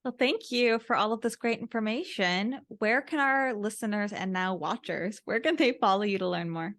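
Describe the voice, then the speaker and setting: A woman hosts an interview in a medium-pitched voice. She speaks conversationally, posing questions and responding to her guest as a podcast interviewer. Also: moderate pace, fairly bright tone.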